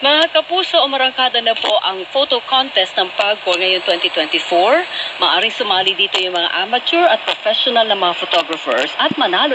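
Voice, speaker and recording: A woman with a Filipino accent reading a scripted radio promo as an announcer. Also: medium pitch. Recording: noisy AM radio aircheck.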